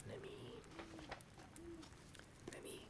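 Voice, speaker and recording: A man whispering a hesitant, rambling ASMR chat in a soft, often breath-only voice. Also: drawn-out words. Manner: hesitant, rambling, self-deprecating. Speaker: ASMR video host. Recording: strong ambient noise behind whisper. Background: added ambience sound.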